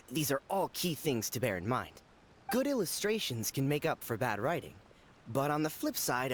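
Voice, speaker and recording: A man's voice: a little high, a little hiss or hum. A man voicing an earnest, opinionated book enthusiast in an anime game, giving emphatic advice. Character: opinionated male light-novel enthusiast, earnest and knowing.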